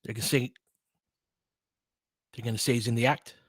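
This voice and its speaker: A man doing casual live basketball play-by-play as a fan analyst, in clipped phrases, swinging between muttered asides and excited calls. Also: mid-range voice, moderate pace, breathy edge, no room sound, clean studio sound.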